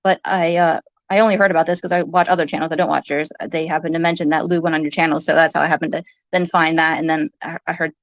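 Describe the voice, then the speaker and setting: A woman's voice: sits low, flat delivery, fast, few pauses, no room sound. Accusatory woman on muffled audio. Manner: accusatory, rambling, swearing. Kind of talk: heated informal argument.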